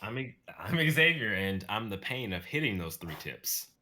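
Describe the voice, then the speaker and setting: A man in his twenties chatting casually on a livestream in an animated, conversational way, over a noisy microphone. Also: fairly low pitch, a little slow. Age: 20s. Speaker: livestream performer. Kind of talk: casual chat.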